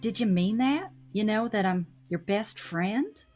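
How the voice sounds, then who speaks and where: A woman's voice: mid-range voice, expressive, measured pace, darkish tone, pitched above the performer's own voice. A woman playing a loyal, bossy best-friend sidekick horse in a comic radio play. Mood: hopeful. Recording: music behind the voice.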